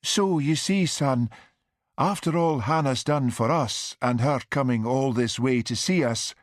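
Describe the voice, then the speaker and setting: A man voicing a busy Highland Scottish mother in an audiobook, plaintive and coaxing, with brisk turns of speech. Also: expressive, dry recording, studio quality. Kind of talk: audiobook character dialogue.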